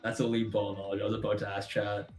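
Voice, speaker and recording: A man's voice: fairly low pitch, level tone, quick pace, a little mellow. Rambly young canadian guy chatting on a stream, laughs a lot, echoey room w faint music behind. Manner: chatty, laughs often, filler-heavy rambling. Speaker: trading stream co-host. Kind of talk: casual live-stream chat. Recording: roomy sound with faint music.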